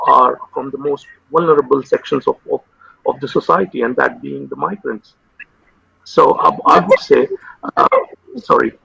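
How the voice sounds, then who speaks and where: A man in his thirties, a psychiatrist whose first language is Urdu, speaking haltingly with many fillers and repeated words in an interview over a video call. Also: medium pitch, vocal fry, sharp tone, husky. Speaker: consultant psychiatrist guest. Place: home room with window. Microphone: video call webcam. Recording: dull lo-fi video call audio.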